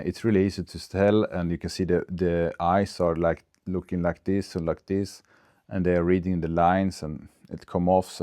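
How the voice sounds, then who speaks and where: A low, mellow male voice with a clear Swedish accent, musing to camera in unscripted English. He is in his forties and hesitant, filling gaps with ums and restarting his sentences. The sound is close and dry, from a small clip-on mic. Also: no room sound. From Sweden. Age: 40s. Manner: thinking aloud, halting with fillers and restarts. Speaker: vlogger. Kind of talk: unscripted casual vlog. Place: recorded on a phone camera. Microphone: small clip-on wireless mic.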